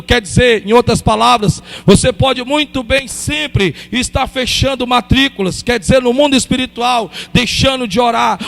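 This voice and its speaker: A high-pitched, gravelly male preacher, driving his points home with insistent repetition. His voice rings out in a church hall with a long reverb tail. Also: fairly bright tone. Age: 50s. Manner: repetitive, emphatic, calls on the congregation. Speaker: pastor. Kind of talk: sermon.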